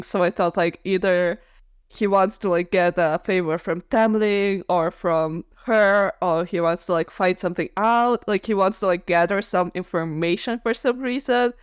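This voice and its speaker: A woman's voice: on the low side, smooth, no room sound, studio quality. A woman co-hosting a podcast, chatting casually about books, opinionated and peppering her talk with "like". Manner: opinionated, heavy use of "like". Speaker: podcast co-host. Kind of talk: casual book discussion chat.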